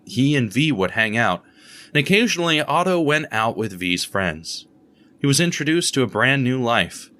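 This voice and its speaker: A man narrating a radio drama in a measured, somber storytelling voice. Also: mid-range voice, bright, forceful tone. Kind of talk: scripted narration.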